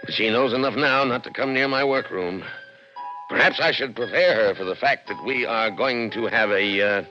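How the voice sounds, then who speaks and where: An actor in a 1960s radio drama playing a brooding, intense scientist with theatrical, deliberate emphasis. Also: male voice, medium pitch, music in the background, lightly acted. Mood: clinical, resolute. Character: obsessive brilliant male scientist, brooding and intense. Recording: lo-fi old radio broadcast recording.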